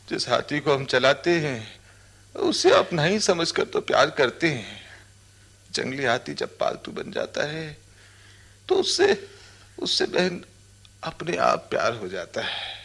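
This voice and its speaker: An actor playing a simple, soft-hearted younger elephant handler in a radio drama, with halting, earnest, pleading speech. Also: male voice, on the high side, very animated, gravelly, full character voice. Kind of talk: Hindi radio drama acting.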